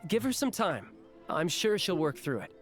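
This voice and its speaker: A man's voice: fairly high pitch, wildly swooping pitch, normal speed. A man voicing an easygoing young warrior turned diplomat in an anime game, breezy, sincere and reassuring. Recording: music behind the voice.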